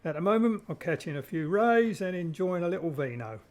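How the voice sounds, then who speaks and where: A man's voice: high voice, big pitch swings, brisk. Jaunty, boastful ship's mechanic, played by a man. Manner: florid, flirtatious, self-satisfied. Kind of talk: amateur audio drama acting.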